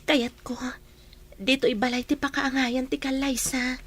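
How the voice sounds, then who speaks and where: Woman acting a high-voiced young bride-to-be. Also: level tone, a little slow. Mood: gentle, firm. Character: young bride-to-be designer, modest, devoted, firm. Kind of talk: audio drama acting.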